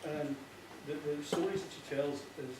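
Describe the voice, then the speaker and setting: A man with a Northern Irish accent giving an informal, earnest speech, often halting and repeating himself. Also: a little high. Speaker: playwright giving a thank-you speech. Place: echoey indoor hall before an audience. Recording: very echoey, distant, noisy with clicks.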